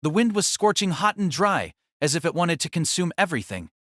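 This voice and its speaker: A man's voice: a little high, very animated, fairly quick, a bit bright, no echo, studio quality. A man narrating a fantasy story in a dramatic, theatrical, scripted style.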